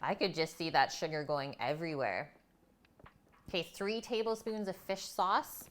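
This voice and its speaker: A woman's voice: low voice, moderate pace, fairly bright tone, a little hiss or hum. A woman hosting a live cooking stream, talking in a chatty, informal way to viewers as she works and tells stories. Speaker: cooking livestream host.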